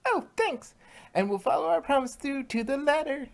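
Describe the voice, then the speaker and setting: A woman voicing a hyper, cheerful young pony mare with a squeaky, bouncy, singsong delivery in a fan fiction audio drama. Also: lively intonation, normal speed, gravelly.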